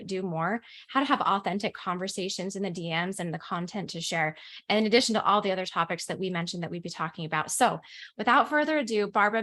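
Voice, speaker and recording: A woman's voice: mid-range voice, fairly bright tone. A woman from the US, a business coach, hosting a webinar over a video call in an upbeat, enthusiastic way. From United States.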